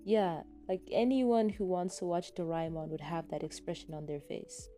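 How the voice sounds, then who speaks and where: A woman's voice: fairly low pitch, fairly even intonation, normal speed, warm tone, faint music behind, lightly acted. A woman voicing a wry, enthusiastic young female science fan in a story, speaking casually. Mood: wry eager.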